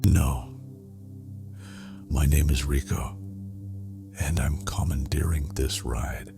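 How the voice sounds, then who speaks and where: Male actor as smug post-apocalyptic raider boss for audio comic: rock-bottom pitch, slow menacing drawl, music underneath. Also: steady tone, full character voice. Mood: smug menace. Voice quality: very deep, gravelly. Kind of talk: audio comic dub acting.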